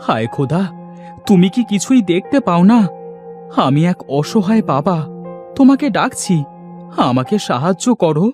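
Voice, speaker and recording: A man plays a poor village father in a cartoon fairy tale, weary and plaintive. His fairly high voice swings widely in pitch as he laments and pleads, with music playing underneath. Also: sing-song, normal speed, pauses often, darkish tone. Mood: despairing.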